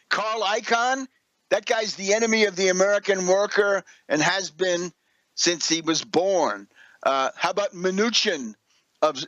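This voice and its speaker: A man giving unscripted political commentary as a radio host, combative and sarcastic, halting and correcting himself, often firing off rhetorical questions. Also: a little high, fairly bright tone, no room sound, rough recording.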